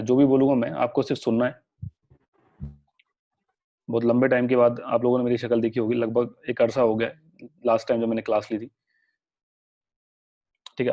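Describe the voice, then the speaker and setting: A man's voice: mid-range voice, mellow, lo-fi sound. A young man teaching a live online class with a sore throat, chatty and reassuring, mixing English words into Hindi. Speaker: online exam-prep teacher.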